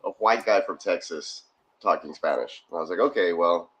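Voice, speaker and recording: A man co-hosting a casual sports watch-party livestream from his garage, chatty and quick to laugh. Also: deep voice, a bit bright. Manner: laughs often, rambling and chatty. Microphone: phone.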